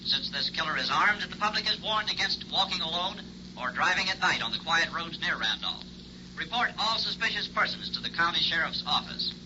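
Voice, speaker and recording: An actor playing a news announcer who reads an urgent bulletin in a formal manner, sounding as if through a radio set. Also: male voice, fairly high pitch, expressive, a little fast. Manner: crisp, formal bulletin delivery. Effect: radio speaker. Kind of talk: 1960s radio acting. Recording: noisy, muffled radio bulletin.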